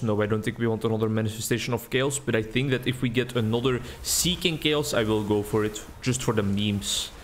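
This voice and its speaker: A young man with a Belgian accent giving relaxed, rambling commentary while playing a game, recorded at home on a desk mic. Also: mid-range voice, slight background noise. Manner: rambling, relaxed, thinking aloud. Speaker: gaming video creator. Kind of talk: casual gameplay commentary. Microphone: desk mic with webcam.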